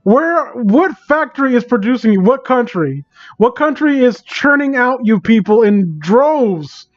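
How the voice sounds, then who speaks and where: Male livestreamer, high voice, unhurried halting pace, sarcastic exasperated commentary, sweary. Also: animated, muffled recording. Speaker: live streamer reading and mocking online fan fiction. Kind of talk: casual livestream reading and commentary.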